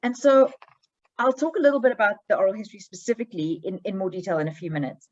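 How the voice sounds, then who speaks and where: A woman's voice: medium pitch, fast, highs cut off. A middle-aged woman with a South African accent giving an online history presentation, conversational and hesitant, with frequent ums and restarts. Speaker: history professor. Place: holiday home.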